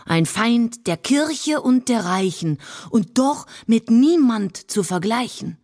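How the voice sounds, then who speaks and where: A woman reciting scripted rhyming verse for a radio play in a deliberate, rhythmic way. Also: a little high, expressive, darkish tone.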